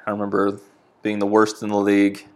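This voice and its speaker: A man's voice: lowish voice, monotone, a little fast. A man in his thirties from New England, chatting easily as a podcast guest, telling stories with plenty of filler words. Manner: easygoing storytelling with frequent fillers. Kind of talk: casual chat.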